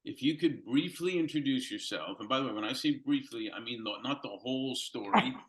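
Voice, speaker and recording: An older man hosts an interview series in a friendly way. He rambles and restarts his questions several times before he lands on one. His voice sits at a middle pitch for a man. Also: a little fast, crowd noise. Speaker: host. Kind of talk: interview hosting. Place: Los Angeles.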